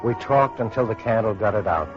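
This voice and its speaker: An actor playing a weary, grave male survivor of atomic war, narrating a radio drama in a sombre, measured way. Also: lowish voice, lively intonation, lightly acted. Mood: sombre, reflective. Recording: muffled old radio recording, music behind.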